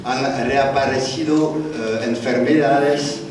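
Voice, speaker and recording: A man's voice: medium pitch, fairly even intonation, slow, a little mellow, slight background noise. A man with a French accent speaking Spanish at a conference panel, hesitant, with many fillers and restarts. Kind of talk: conference talk. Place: large conference hall. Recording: echoey hall, distant mic.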